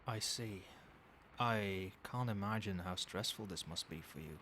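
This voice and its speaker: A man playing a doting yet controlling, quietly menacing boyfriend in a soft-spoken ASMR roleplay, coaxing tenderly with pet names. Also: on the low side, a little slow. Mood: soothing, doting. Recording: steady background noise, night ambience. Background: rain and cricket sounds.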